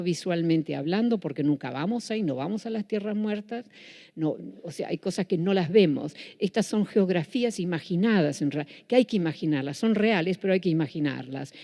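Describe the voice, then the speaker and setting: A woman's voice: fairly low pitch, gravelly, mellow. A Dutch-born woman with a Buenos Aires Spanish accent giving a public lecture, restarting and correcting herself often. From Netherlands. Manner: self-correcting, with frequent restarts. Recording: reverberant hall.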